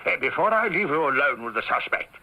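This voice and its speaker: An actor playing a stern, scornful London police inspector in an old radio mystery, brusque and commanding. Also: male voice, on the high side, very animated, fast talker, sharp tone, full character voice, rough recording. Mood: stern, accusing. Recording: old radio broadcast recording.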